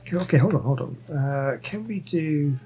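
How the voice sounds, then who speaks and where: Casting: female let's-play commentator, very low register, mellow tone, casual and hesitant. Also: expressive, moderate pace, rough recording. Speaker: gamer playing and commenting. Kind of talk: casual game commentary, reading dialogue choices aloud.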